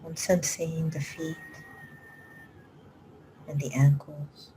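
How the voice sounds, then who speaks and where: A woman meditation teacher leading a live guided meditation, speaking slow, soft instructions that often drop to a whisper. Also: deep voice, little pitch movement, a little hiss or hum, poor audio. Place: live group session.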